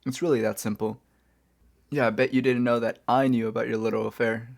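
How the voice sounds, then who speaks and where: A smug, playful supervillain , acted by a man, speaks softly at a medium pitch. He is wry and casually conversational, like a kidnapper chatting with an old rival in an ASMR roleplay. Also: little pitch movement, a little fast, vocal fry, close to an everyday voice. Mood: cold, threatening. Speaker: audio roleplay performer. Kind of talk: soft-spoken ASMR roleplay acting.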